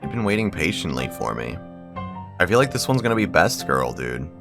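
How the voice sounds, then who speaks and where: A man's voice: low-pitched, very animated, fairly quick, creaky, over music. A man hosting a game video, reading a visual novel aloud and adding slangy, profane bro-style commentary. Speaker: gaming video host.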